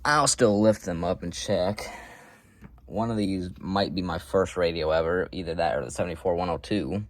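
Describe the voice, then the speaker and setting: A man's voice: sits low, normal speed. A man giving a casual, unscripted show-and-tell as a hobby collector, talking in an offhand, matter-of-fact way with rambling asides.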